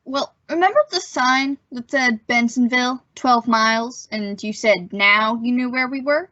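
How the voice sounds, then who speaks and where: A woman's voice: fairly high pitch, measured pace, sharp tone, no echo. A woman playing a cheeky, put-upon teenage son in an old-time radio sitcom, plaintive and wisecracking. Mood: eager, cheeky. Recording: muffled recording with faint vehicle noise.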